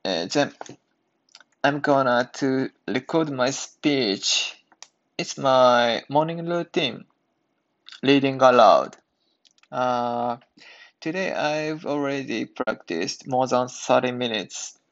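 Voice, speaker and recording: A man hosting an English practice podcast, speaking and reading aloud in careful, halting learner's English. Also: medium pitch, a bit bright. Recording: dull lo-fi sound.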